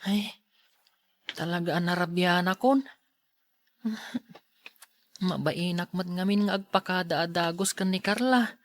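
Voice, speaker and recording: A woman's voice: fairly low pitch, unhurried, long pauses, natural voice. A woman playing a timid, polite schoolboy in an audio drama, speaking hesitantly and bashfully. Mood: nervous.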